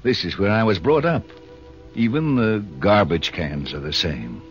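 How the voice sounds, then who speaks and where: A low-voiced, grumpy old man with a sentimental streak, from a 1940s radio play. His slangy tough talk swings widely in pitch, on a dull vintage recording. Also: lively intonation, measured pace, faint music behind. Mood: wistful.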